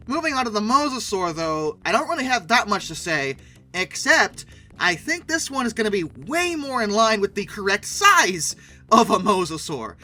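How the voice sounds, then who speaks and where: High-pitched, bright, animated male commentator. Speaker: host of a dinosaur video channel. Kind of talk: sarcastic film commentary.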